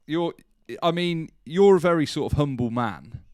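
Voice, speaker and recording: A man's voice: on the high side, normal speed, darkish tone, smooth, dry recording, clean studio sound. A young man hosting a podcast interview, asking loose questions full of fillers and repeated words. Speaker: podcast host. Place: small studio room with curtains. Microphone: desk mic on boom arm, headphones.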